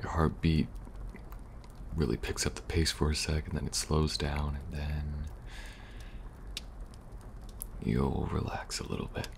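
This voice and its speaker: A man playing a weary, protective young survivor agent in an ASMR audio roleplay, speaking softly and reassuringly, a little hesitant. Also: a bass voice, level tone, moderate pace, gravelly. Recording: faint background noise and ambience.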